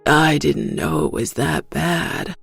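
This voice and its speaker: Woman doing big gruff father, choked-up, slow, low, dark fiction. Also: very animated, no room sound, soft background music, full character voice. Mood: sorrowful.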